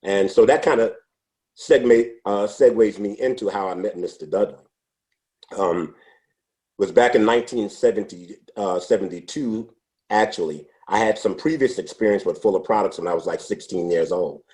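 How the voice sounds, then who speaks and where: A man in his sixties, a podcast interview guest, telling his life story in an unhurried, reflective way with frequent restarts. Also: lowish voice, darkish tone. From United States. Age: 60s. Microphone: podcast microphone.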